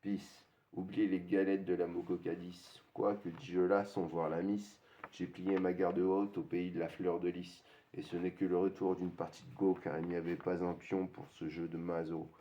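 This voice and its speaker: A man's voice: low-pitched, flat delivery, moderate pace, dark tone, a little hiss or hum. A man in his thirties performing a rhythmic rap freestyle with a recurring hook, on a dull lo-fi recording. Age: 30s. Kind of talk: rap freestyle over a beat.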